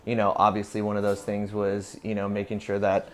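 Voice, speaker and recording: A man's voice: on the low side, completely flat delivery, brisk. An American man in his thirties speaking candidly and matter-of-factly in a casual documentary interview. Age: 30s. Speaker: barber. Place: inside a barbershop.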